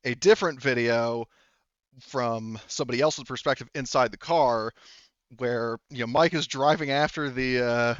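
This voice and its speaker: A male game master narrates casually, with loose, sweary phrasing and a halting flow. His medium-pitched voice is smooth and clear in tone. The recording is dry but somewhat dull and lo-fi. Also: fairly bright tone, no room sound. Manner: informal, profane, halting delivery.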